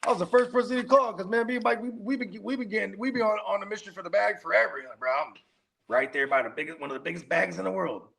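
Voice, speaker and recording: Gravelly high-voiced man rambling with laughs. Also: fast talker, fairly bright tone. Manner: rambling, laughing, heavy slang and fillers. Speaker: podcast co-host. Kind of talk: casual podcast chat.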